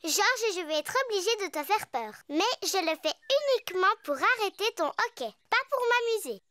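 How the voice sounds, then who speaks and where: A performer voicing a bossy, excitable little girl pig in a French children's cartoon, perky and cheeky. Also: extremely high pitch, normal speed, no room sound, heavily acted.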